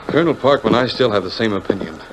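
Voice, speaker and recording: An actor playing a cautious railroad engineer in a 1940s radio western, deferential but stubborn. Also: male voice, lowish voice, lively intonation, quick pace, close to an everyday voice. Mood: doubtful, insistent. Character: cautious, doubtful railroad engineer, respectful to his superior. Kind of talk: 1940s radio drama acting. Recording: faintly noisy 1940s radio recording.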